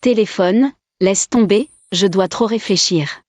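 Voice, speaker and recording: A woman's voice: medium pitch, a little slow, a little mellow, dry recording, natural voice. Woman dubbing a hesitant young mother. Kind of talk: dubbed drama acting.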